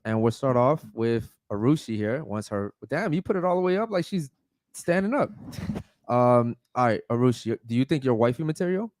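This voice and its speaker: A man's voice: medium pitch, lively intonation, normal speed, a little mellow, no echo. A man hosting a casual podcast, talking loosely and swearing now and then. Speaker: podcast host. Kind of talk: casual chat. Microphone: studio mic.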